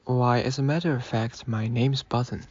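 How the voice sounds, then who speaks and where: A man's voice: medium pitch, fairly even intonation, moderate pace, darkish tone, husky, no echo, highs cut off, natural voice. A man playing an earnest, easily hurt eighteen-year-old who looks old, in a radio drama. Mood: earnest, insistent. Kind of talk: amateur radio drama acting.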